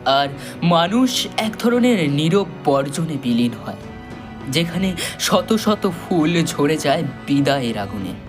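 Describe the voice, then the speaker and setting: Male narrator, slow pace, slightly high pitch, solemn, dramatic pauses, faint music. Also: very animated. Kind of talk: dramatic scripted story narration.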